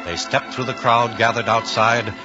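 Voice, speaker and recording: Old time radio western narrator guy, loud music behind him, dull sound. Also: mid-range voice, steady tone, normal speed. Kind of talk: 1950s radio scripted narration.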